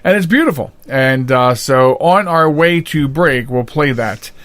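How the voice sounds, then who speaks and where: A man's voice: mid-range voice, lively intonation, measured pace, fairly bright tone, no room sound. An American man, middle-aged or older, hosting a podcast in loose, unscripted, conversational chat. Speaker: podcast host. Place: home studio.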